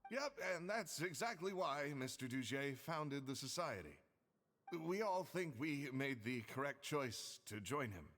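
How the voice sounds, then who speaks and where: A man's voice: medium pitch, very animated, a little slow, fairly bright tone, slight background noise. An actor playing a polite, helpful guide in a video game, courteous as he explains things. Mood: polite, welcoming. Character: polite, helpful male guide of a members' society. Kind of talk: video game character acting.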